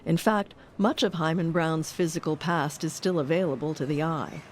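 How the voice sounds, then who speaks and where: A woman's voice: low-pitched, moderate pace, slight background noise. A woman reading scripted documentary narration as the narrator, close on a studio microphone.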